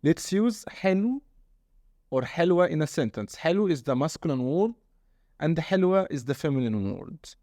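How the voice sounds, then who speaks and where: A man's voice: on the high side, very animated, a little fast, dark tone, no echo, clean studio sound. A man from Egypt with an Egyptian Arabic accent, a native Arabic speaker, teaching his dialect step by step and asking guiding questions. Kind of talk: casual language lesson.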